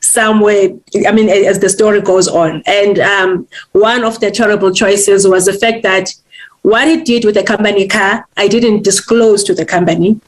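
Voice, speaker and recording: Guest author, woman, 30s, candid, halting, many ums. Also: mid-range voice, fairly even intonation, rough recording. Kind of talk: personal storytelling in an interview.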